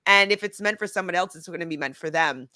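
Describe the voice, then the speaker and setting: A woman's voice: fairly low pitch, quick pace, bright, forceful tone, no echo, studio quality. A woman coach chatting casually and earnestly about acting. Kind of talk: casual conversational chat.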